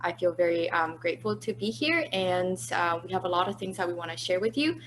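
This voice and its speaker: A woman's voice: fairly low pitch, lively intonation, brisk, slight background noise, rough recording. Polite woman guest on a webinar. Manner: polite, articulate with occasional fillers. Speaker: influencer, webinar guest panelist. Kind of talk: conversational business webinar. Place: at home, indoors.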